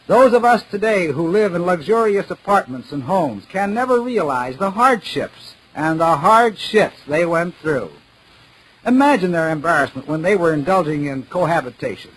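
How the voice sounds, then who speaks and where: A man's voice: a little high, animated, moderate pace, a bit bright, a little hiss or hum, low-quality recording. A man playing a pompous, mock-serious radio announcer giving an oratorical comedy speech in 1940s style on an old 78rpm record. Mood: mock-solemn.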